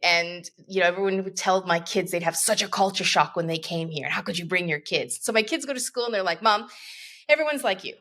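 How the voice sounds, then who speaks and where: A woman's voice: lowish voice, a little fast, a bit bright. A woman in her fifties from Canada, a guest coach talking in a reflective, rambling way with many asides. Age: 50s. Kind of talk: casual interview conversation.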